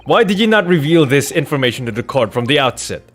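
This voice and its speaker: A man's voice: medium pitch, sing-song, fast, fairly bright tone, faint music behind. A man doing a theatrical, articulate read-through of courtroom video game dialogue. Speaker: video game streamer reading dialogue aloud.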